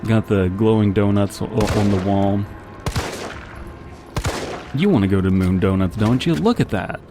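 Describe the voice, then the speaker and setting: A man's voice: on the low side, measured pace, noisy background. A man giving casual, rambling commentary as he plays a video game, with wry joking asides. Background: game music and gunfire.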